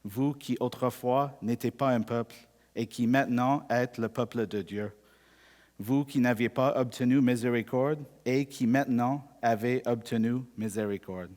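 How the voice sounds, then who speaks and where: A Canadian man whose first language is English, preaching a sermon in measured, deliberate speech and slowing down to read scripture. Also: medium pitch, darkish tone, long reverb tail.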